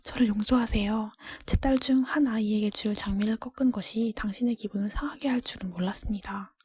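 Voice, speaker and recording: A woman's voice: mid-range voice, fairly even intonation, fairly quick, a little mellow. Humble whispering merchant father read by a woman. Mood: pleading. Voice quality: breathy whisper. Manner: polite, humble, murmuring.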